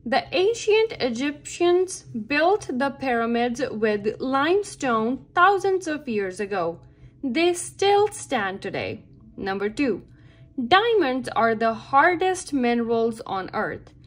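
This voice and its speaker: A woman reading a nonfiction picture book aloud for children, with careful, deliberate and expressive phrasing. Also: high voice. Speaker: read-aloud narrator for children. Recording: background music under the voice. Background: music.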